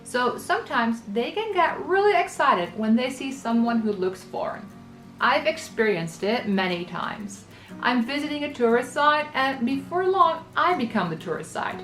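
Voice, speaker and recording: A woman in her thirties from the Netherlands talks through travel tips, clear and practical in a scripted vlog delivery. Her voice sits a little high, with soft music underneath. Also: lively intonation, moderate pace, rough recording. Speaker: travel vlogger.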